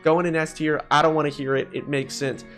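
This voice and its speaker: A man's voice: a little high, fairly quick, faint music behind. A young man giving casual, opinionated, joking commentary as he ranks things in a gaming video. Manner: animated, joking, mildly profane.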